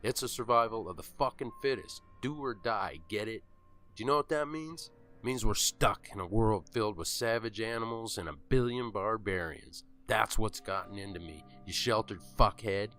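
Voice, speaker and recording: A man's voice: fairly low pitch, moderate pace, a bit bright, soft background music, natural voice. A man playing an angry, bitter survivor who scolds aggressively with sarcasm in a horror audio drama. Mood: bitter, furious. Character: angry, bitter, foul-mouthed man hardened by apocalypse.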